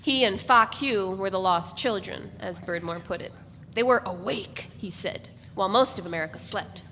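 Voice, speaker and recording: A woman's voice: mid-range voice, moderate pace, fairly bright tone, in a large hall, slight background noise. Woman narrating a novel live. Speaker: narrator in a staged reading. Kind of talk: literary narration read aloud.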